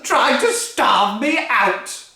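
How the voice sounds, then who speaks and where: A reader voicing an enormous, greedy old grandmother who shouts and bosses everyone, in an echoey room. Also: mid-range voice, animated, extremely slow, pitched above the performer's own voice, full character voice. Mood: shouting. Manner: shouting, bossy.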